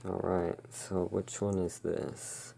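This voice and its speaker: Slow, creaky low-voiced ASMR host. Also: flat delivery, dark tone, a little hiss or hum. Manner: musing, talking to self. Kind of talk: casual ASMR tarot card commentary.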